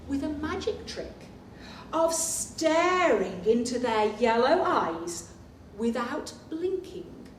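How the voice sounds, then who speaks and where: A woman reads a picture book to small children in a very slow, expressive storytelling voice. Her pitch is on the high side and she often turns to the listeners with asides and questions. The room is echoey around her. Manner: animated, expressive, with gasps and questions to the young listeners. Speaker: librarian reading to young children. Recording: echoey room, distant mic, faint noise.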